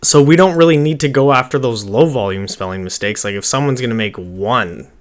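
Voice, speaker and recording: A man's voice: medium pitch, fairly quick. A man in his thirties giving an informal screen-share tutorial, thinking aloud in a rambling way as he works at his computer. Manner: rambling, informal, muttering to himself while working. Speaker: online marketing tutorial host. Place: small indoor room at a computer.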